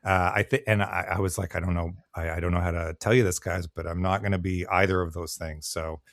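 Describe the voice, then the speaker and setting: A middle-aged man chatting casually as a podcast guest, self-deprecating and halting in how he talks. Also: low voice, little pitch movement, no echo, clean studio sound. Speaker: podcast guest, actor and writer. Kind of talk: casual chat.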